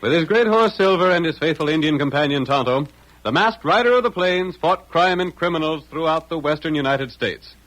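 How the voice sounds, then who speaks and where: A male announcer from classic adventure radio, his fairly high voice rising and falling dramatically. He narrates in a grand, stirring manner, selling every phrase with theatrical weight. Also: lively intonation, brisk, a bit bright, faint music behind, low-quality recording. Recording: old radio broadcast recording.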